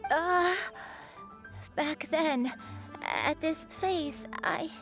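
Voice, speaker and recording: A woman playing a wistful elderly granny in a video game, talking in a hesitant, halting way. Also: high voice, fairly bright tone, soft background music, exaggerated voice. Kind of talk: video game character acting.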